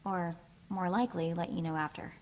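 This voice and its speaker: An actress playing a sassy, quick-witted young woman hacker in a video game, with a chatty, wry delivery. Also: fairly low pitch, steady tone, slight background noise, natural voice. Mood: uneasy. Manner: wry, chatty, hesitant asides.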